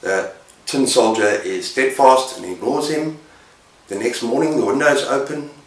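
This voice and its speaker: A man casually retelling stories as a book reviewer, with dramatic pauses and an emphatic delivery. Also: medium pitch. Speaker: book reviewer on a video channel. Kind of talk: casual story retelling to camera. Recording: roomy echo, distant microphone.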